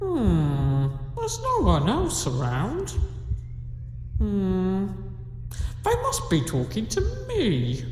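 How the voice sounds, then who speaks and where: An actress playing a slow-witted, confused old female cow in an audio pantomime, thinking aloud and puzzling things out word by word. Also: medium pitch, huge pitch swings, a little mellow, slight background noise, heavily acted. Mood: puzzled. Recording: echoey, long reverb on the voice.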